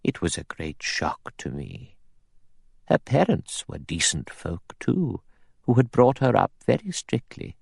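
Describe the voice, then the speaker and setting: A severe old spinster from a storybook, acted out by a man. She is creaky and softly spoken, with brisk, precise diction. Her manner is coldly pious and disapproving, and the sound is close and dry. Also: on the low side, wildly swooping pitch, no room sound, pitched above the performer's own voice, heavily acted. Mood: serene disapproval. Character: prim, pious, severe elderly spinster, coldly self-righteous.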